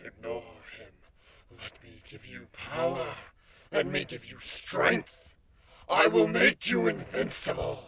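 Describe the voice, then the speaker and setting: A man voicing an evil, tempting mist-snake spirit in a drawn-out, seductive, breathy whisper for a fantasy story. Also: mid-range voice, big pitch swings, a crowd in the background, heavily acted. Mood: sinister, seductive.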